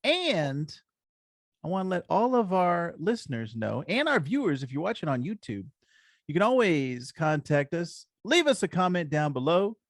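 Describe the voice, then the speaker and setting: A man's voice: on the high side, no room sound, clean studio sound. A man co-hosting a podcast in a casual chat, with an upbeat, friendly, encouraging manner. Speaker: podcast co-host.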